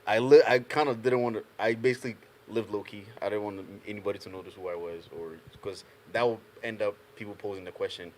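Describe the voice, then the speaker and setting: Young man from Ghana, low voice. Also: fast talker, hardly any pauses. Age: young adult. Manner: animated storyteller, laughs through anecdotes. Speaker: panel guest. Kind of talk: casual group conversation.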